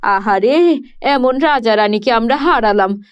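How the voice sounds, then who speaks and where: A woman's voice: fairly high pitch, animated, measured pace, smooth, lo-fi sound. A woman voicing a grieving, talkative villager in a cartoon, speaking in a lamenting way.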